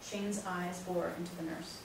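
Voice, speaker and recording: A woman's voice: fairly low pitch, little pitch movement, a little slow. Very echoey, distant woman narrator. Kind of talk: scripted stage-direction narration. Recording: very echoey, distant, noisy room recording.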